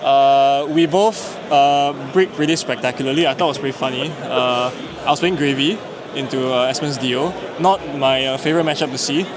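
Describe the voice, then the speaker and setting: A man from Singapore talking about his tournament games in a relaxed, joking way with plenty of hesitations. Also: medium pitch, fairly quick. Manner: relaxed, joking, many ums and uhs. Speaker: tournament player being interviewed. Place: busy card game tournament venue. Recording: busy crowd noise behind. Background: crowd chatter.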